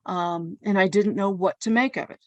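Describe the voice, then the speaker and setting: A woman's voice: mid-range voice, moderate pace, a little mellow, dry recording, dull audio. A woman hosting a casual conversation, telling personal anecdotes in a chatty way. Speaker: podcast host.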